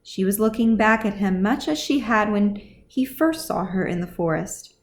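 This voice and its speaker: A woman's voice: medium pitch, lively intonation, moderate pace. A woman reading scripted fantasy fiction as audiobook narration, as the author of the story.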